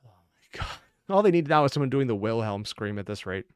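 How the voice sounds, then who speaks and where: A man's voice: medium pitch, sing-song, quick pace, smooth. A man giving casual, sweary, exclamatory reaction commentary as the host of a video.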